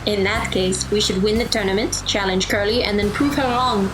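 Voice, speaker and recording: A woman's voice: medium pitch, fairly even intonation, moderate pace, background noise, lightly acted. A woman playing a practical, matter-of-fact teenage girl in an audio drama.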